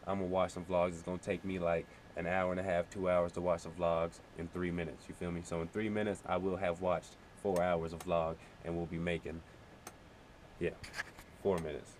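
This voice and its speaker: A man's voice: sits low, slight background noise. A man talking casually in a rambling vlog, profane and slangy, thinking aloud and poking fun at himself. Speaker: vlogger, amateur music producer. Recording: loud music behind the voice. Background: music.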